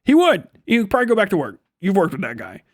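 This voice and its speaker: An American man livestreaming a casual, profane, blunt and rambling rant in an animated manner. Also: sits high, fast talker, no echo. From United States. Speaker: livestream host. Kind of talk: casual ranting livestream commentary.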